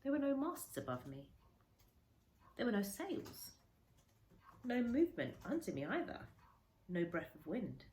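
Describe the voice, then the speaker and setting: A woman teacher reading a children's story aloud, dramatic and emphatic, with deliberate pauses and shifts in volume. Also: fairly high pitch, sing-song, darkish tone, a little hiss or hum. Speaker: primary school teacher reading to a class.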